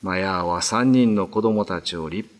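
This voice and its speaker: A man narrating a scripted ghost story for a radio drama in a deliberate, theatrical way. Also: deep voice.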